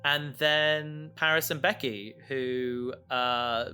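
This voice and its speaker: A man chatting casually as a podcast co-host, halting and often interrupting himself as he rambles. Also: medium pitch, fairly even intonation, sharp tone, smooth, soft background music. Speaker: podcast co-host and audio drama creator.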